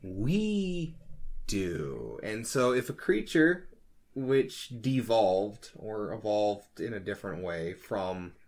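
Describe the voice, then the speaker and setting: A man chatting casually and speculatively as a podcast co-host, in a jokey, animated way. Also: lowish voice, takes it slowly.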